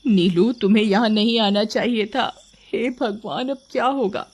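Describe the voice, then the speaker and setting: A woman's voice: on the high side, normal speed, a little mellow, natural voice. A woman voicing a bossy, worried elder sister who instructs and scolds in a cartoon horror story.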